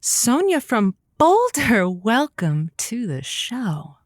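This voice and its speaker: A woman playing a sultry, teasing late-night radio hostess with a slow, theatrical, playful drawl. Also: a little high, huge pitch swings, no echo, clean studio sound, exaggerated voice. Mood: sultry, inviting. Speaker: radio call-in host. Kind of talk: scripted audio drama, seductive radio monologue.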